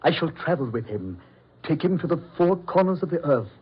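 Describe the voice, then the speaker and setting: An actor in an old-time radio horror play as a proud, coldly polite mad doctor, speaking in a deliberate, theatrical way. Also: male voice, fairly high pitch, big pitch swings, husky. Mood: grandiose, obsessed. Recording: muffled vintage radio drama recording.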